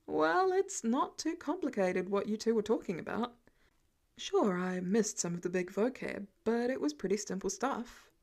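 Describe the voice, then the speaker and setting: A woman's voice: on the high side, lively intonation, moderate pace, darkish tone. A breezy, confident grown man read by a woman. He sounds casual and a little dismissive, as a character in an audiobook. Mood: unimpressed.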